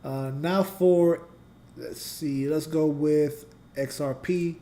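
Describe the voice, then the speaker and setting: Mellow-voiced man explaining charts casually. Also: a little high, steady tone, slow. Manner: loose and conversational, talks to chat. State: voice getting scratchy. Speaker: trading channel host and teacher. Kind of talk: casual live chart analysis.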